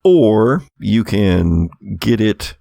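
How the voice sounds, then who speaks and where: A man's voice: on the low side, very animated, drawn-out words, gravelly, warm tone, no room sound, clean studio sound. A man narrating a tabletop roleplaying game as game master, describing scenes in a conversational, slightly hesitant way.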